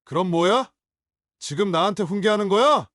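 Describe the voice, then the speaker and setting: An actor playing a hostile, hungover young adult son in an audio drama, sneering and confrontational. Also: male voice, sits high, lively intonation, normal speed, a bit bright, dry recording, studio quality. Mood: raging.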